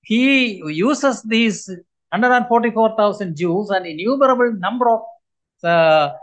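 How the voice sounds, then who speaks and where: A man in his seventies preaching a sermon to a congregation, earnest and emphatic, with many pauses. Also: high voice, expressive. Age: 70s.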